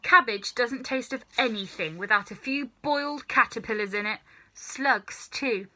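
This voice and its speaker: A woman's voice: on the high side, a little slow, sharp tone. A woman voicing a nasty, bossy old grandmother from a children's storybook, snapping commands and savouring key words. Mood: snappish, contemptuous. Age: older. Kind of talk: children's storybook character reading.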